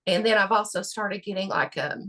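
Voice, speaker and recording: A woman with a gravelly voice answering interview questions about her health, halting and full of fillers. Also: medium pitch, a bit bright. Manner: halting, many fillers and restarts. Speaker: patient guest.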